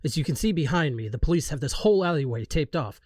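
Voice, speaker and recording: A man's voice: fairly high pitch, expressive, fast talker, darkish tone, no room sound, studio quality. A man playing a TV field reporter with a dramatic newscaster cadence in an audio drama. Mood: newsy. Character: upbeat male TV field reporter.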